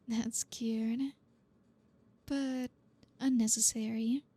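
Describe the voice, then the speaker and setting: A woman's voice: fairly high pitch, dark tone, breathy edge. A woman playing a flirty, teasing, dominant boss in an ASMR roleplay, speaking breathily and often slipping into a whisper.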